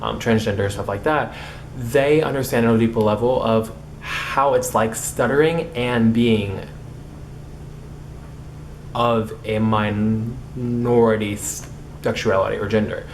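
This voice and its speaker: A man's voice: lowish voice, steady tone, slow pace, slight background noise, poor audio. A young man who stutters, with repeated starts and blocks, chatting casually to camera in a vlog. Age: young adult. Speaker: vlogger.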